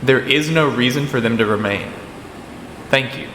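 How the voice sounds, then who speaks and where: A man's voice: medium pitch, moderate pace, pushed and bright, reverberant, in a large hall, slight background noise, lightly acted. A man playing a combative young debater who is smitten with his rival in a radio drama. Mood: combative, formal.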